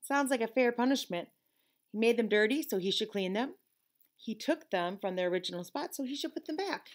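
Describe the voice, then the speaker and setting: A woman's voice: on the high side, expressive, fairly quick. A middle-aged woman teacher reading a picture book aloud to children expressively, with conversational asides. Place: classroom, indoors.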